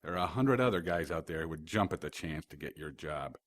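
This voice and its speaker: A man playing a gruff, sarcastic private detective boss from the 1930s in an audio drama. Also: extremely low pitch, expressive, brisk, no room sound.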